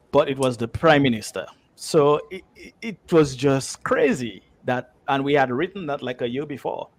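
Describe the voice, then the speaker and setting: A man with a mid-pitched voice talks as a guest writer in an interview. He is conversational and emphatic, drifting into anecdotes and circling back over his phrases.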